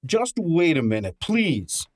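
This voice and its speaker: A man's voice: on the high side, very animated, slow pace, no echo. A man playing a brash, cheeky detective's assistant who also narrates, in an informal, wisecracking old time radio drama style. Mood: placating.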